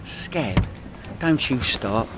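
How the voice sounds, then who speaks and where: A man's voice: medium pitch, lively intonation, very slow, heavy background noise. A man acting a cheeky, rambling small-time crook and cab driver with a London accent in a comedy radio play. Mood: defensive, indignant.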